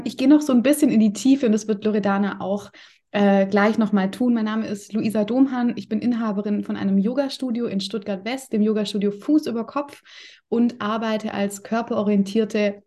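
A woman's voice: mid-range voice, mellow. A woman who works as a yoga coach, introducing herself informally at the start of a workshop. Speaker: yoga studio owner and body-oriented coach.

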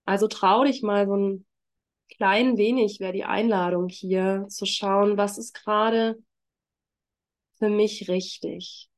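A woman with a congested voice from a heavy cold, speaking gently and informally as a yoga teacher leading a workshop. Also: medium pitch, fairly even intonation, slow. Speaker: trauma-sensitive yoga teacher and facilitator. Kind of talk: workshop introduction and guided mindfulness.

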